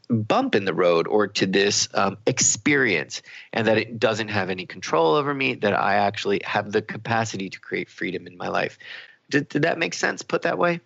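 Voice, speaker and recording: A man's voice: on the low side, creaky, a bit bright, no echo, dull audio. A man co-hosting a talk radio show, speaking in a reflective, conversational way and often rephrasing his points as he explains.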